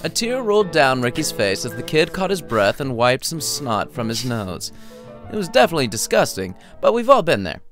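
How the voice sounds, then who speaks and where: A man's voice: mid-range voice, big pitch swings, moderate pace, fairly bright tone, soft background music. A man narrating a scripted story in a playful, wry, theatrical storytelling manner. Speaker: narrator and author.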